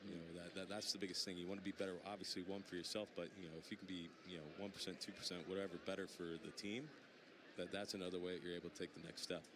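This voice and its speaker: Male pro athlete, very low voice, rapid but halting casual interview, clear outdoor noise. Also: flat delivery. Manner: hesitant, repeats words, many "you know"s. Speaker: professional baseball player.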